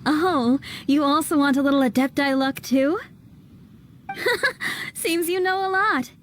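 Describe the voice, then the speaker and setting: A woman's voice: high voice, slow pace, a little hiss or hum. A woman voicing a friendly, generous townsperson in a video game, chatty and obliging. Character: friendly, generous female townsperson offering luck. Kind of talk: English video game voice acting.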